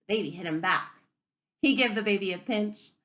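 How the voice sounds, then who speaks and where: A woman reading a picture book aloud to young children as a teacher, expressively, pausing to wonder aloud and ask them questions. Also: medium pitch. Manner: expressive, wondering aloud, inviting listeners to reflect.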